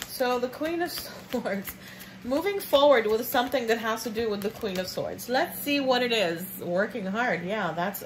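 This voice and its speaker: A woman's voice: mid-range voice, slight background noise, rough recording. A woman doing a casual tarot reading for viewers. She is a bit sniffly and talks in a chatty, affectionate way, calling listeners babe and baby nugget. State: sniffly from sinus trouble. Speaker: tarot reader. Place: indoors at a table with cards on red cloth.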